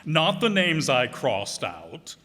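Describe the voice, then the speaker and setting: Guy doing a pompous fussy radio comedy husband, slow talking, up and down pitch, echoey hall. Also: very animated, sharp tone, heavily acted. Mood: fussy, exasperated. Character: fussy, disapproving husband, a self-important company executive. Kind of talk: 1940s radio comedy acting. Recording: reverberant hall.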